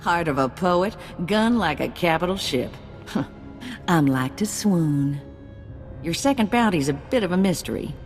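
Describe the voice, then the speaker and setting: A wry, streetwise broker of bounties in a sci-fi video game. She talks in a low register with teasing, folksy turns of phrase and a touch of hall reverb. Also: expressive, measured pace, soft background music. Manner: folksy, colloquial, sardonic.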